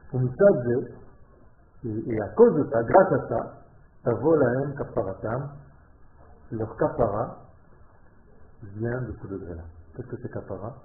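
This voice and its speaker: A man from Israel, speaking French as a learned language and giving a passionate religious lesson to a small group, shifting from quiet talk to loud exclamations. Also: mid-range voice, slight background noise. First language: Hebrew. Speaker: religious teacher. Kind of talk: Torah lesson to a live group. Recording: tinny phone-like echoey room.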